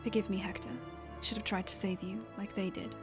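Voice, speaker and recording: Casting: woman as proud, repentant male fighter for a game; formal, solemn, fast delivery. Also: medium pitch, level tone, music in the background, voice pushed down, natural voice. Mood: remorseful. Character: proud male warrior, remorseful, earnest and grave. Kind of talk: amateur video game character voicing.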